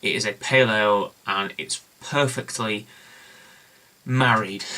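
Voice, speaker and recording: Young man, beer review vlog, bright forceful tone, eager rambling delivery, slightly hoarse, home room with books. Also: on the low side. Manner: enthusiastic, rambling, many fillers and restarts.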